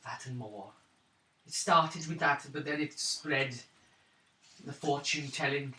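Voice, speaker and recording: A man voicing a shaken, wounded young woman of a wandering clan in a tabletop roleplaying game, speaking haltingly and wearily. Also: a little high, pushed and bright, heavily acted. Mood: weary, bitter. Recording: roomy, hissy tabletop recording, distant mic.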